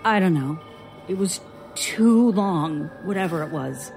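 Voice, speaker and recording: A woman playing a haunted patient who haltingly recounts frightening childhood memories in an audio drama. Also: on the low side, lively intonation, soft background music. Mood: haunted, tense. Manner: slow, halting, hushed suspense.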